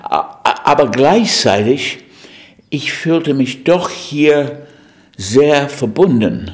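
A man's voice: mid-range voice, expressive, extremely slow. An older man from the USA with an American accent, a native English speaker, speaking reflectively with some stumbles. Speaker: interviewee.

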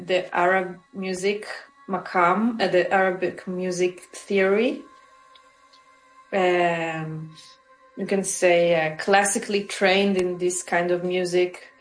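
A woman, answering interview questions thoughtfully and searching for her words. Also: mid-range voice. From Israel. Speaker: musician guest. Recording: roomy with faint music.

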